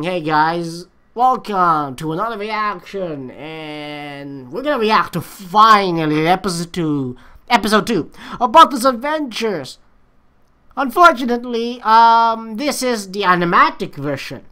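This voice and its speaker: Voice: mid-range voice, sharp tone, clear, resonant tone. A woman hosting a reaction video with casual, rambling commentary, repeating phrases and swearing now and then.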